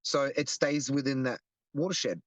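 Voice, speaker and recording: A man's voice: mid-range voice, measured pace, no echo. A young Australian man explaining earnestly in a casual interview, often restarting his sentences. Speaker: guest, activist.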